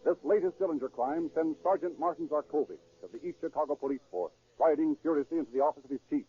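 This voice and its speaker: A man's voice: on the high side, fast, dark tone, breathy edge. Tinny 1930s radio crime narrator, male.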